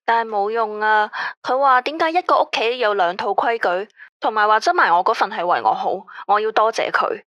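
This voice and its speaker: An actress playing an anxious radio caller who speaks Cantonese with a Hong Kong accent in a tense, weary, complaining way. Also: female voice, medium pitch, a little fast, fairly bright tone, no echo, lo-fi sound, natural voice. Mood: uneasy. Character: female caller, anxious about a controlling ex-husband. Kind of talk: radio drama acting.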